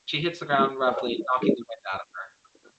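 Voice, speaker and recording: A man's voice: medium pitch, expressive, brisk, dull audio, a crowd in the background. A man narrating stage directions from a script at a virtual table read.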